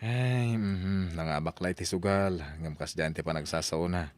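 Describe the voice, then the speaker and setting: A man voicing a calm, advising family man and father in an audio drama. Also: low-pitched, measured pace. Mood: concerned. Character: steady older family man, friend and father.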